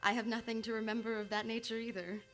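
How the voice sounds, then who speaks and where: A woman's voice: on the high side, flat delivery, quick pace, a bit bright, soft background music, close to an everyday voice. A woman playing an earnest, devoted young psychoanalyst in a live old-time radio play. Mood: tender, shy.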